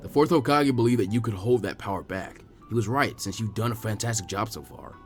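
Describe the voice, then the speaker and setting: A man voicing a serious, frank older ninja mentor explaining things in measured storybook dialogue. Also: on the low side, soft background music, pitched below the performer's own voice, natural voice. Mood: serious, cautioning.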